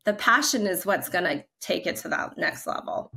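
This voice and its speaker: Bright-voiced woman on a video call. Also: on the low side, animated, moderate pace, gravelly. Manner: chatty, upbeat, many "like" fillers. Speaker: guest, creative brand leader. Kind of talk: casual video-call interview. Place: home living room. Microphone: video call, laptop camera.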